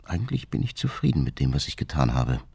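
An actor playing an embittered, vengeful bomber hermit reading his secret diary in a radio drama, gravelly and husky with controlled anger. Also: extremely low pitch, big pitch swings, quick pace, darkish tone, no room sound, studio quality, heavily acted. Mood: angry, satisfied.

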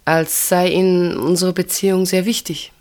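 An actress playing a reserved, tender woman in an intimate radio play, speaking in a gentle, measured, earnest way. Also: on the low side, level tone, close to an everyday voice. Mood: tender, nostalgic. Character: reserved, tender woman named Marianne, quietly devoted.

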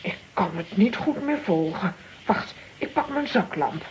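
Slow, theatrical adventurous aunt, played by a woman. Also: mid-range voice, expressive, slight background noise, lightly acted, low-quality recording. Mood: wondering. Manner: theatrical, talking aloud to herself. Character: excitable, dramatic, daydreaming adult aunt adventurer. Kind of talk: children's radio play acting.

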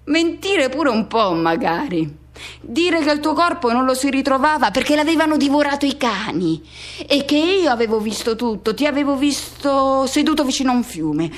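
An anguished, high-voiced haunted lover. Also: female voice. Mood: bitter, intense. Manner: intense, halting, short broken phrases with repetition. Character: anguished person haunted by a lost youthful love. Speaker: actress performing a monologue. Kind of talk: dramatic radio theatre monologue.

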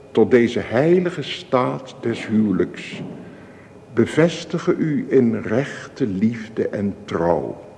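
An actor playing a solemn church minister in a radio drama, speaking formal, measured, ceremonious wedding liturgy. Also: male voice, medium pitch, warm tone, heavily acted. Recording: reverberant church-like hall, clear hiss.

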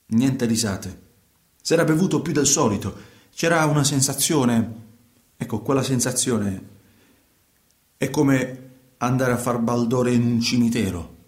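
A man's voice: medium pitch, a little mellow, close to an everyday voice. Actor as a fisherman, roomy echo. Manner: colloquial, halting, repeats words and phrases. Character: troubled working fisherman recounting life at sea. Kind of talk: theatrical radio monologue in conversational storytelling.

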